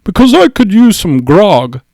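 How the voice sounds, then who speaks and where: A man's voice: sits high, very animated, a little slow, a little mellow, no room sound, voice pushed up, full character voice. A man acts out a big old sailor from a storybook, simple and worn down by years on the docks. He speaks bluntly and grumbles in working-class fashion, his voice lifted well above a plain male register, recorded close and dry. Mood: curious, gruff. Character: big, simple, world-weary old sailor dockhand who loves grog. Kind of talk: audiobook character voice.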